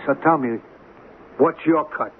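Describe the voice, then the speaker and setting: An actor in a 1970s radio drama playing a wary, plaintive small-time hustler who talks in streetwise slang. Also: male voice, on the high side, unhurried, natural voice. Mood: suspicious. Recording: tinny, noisy 1970s radio broadcast.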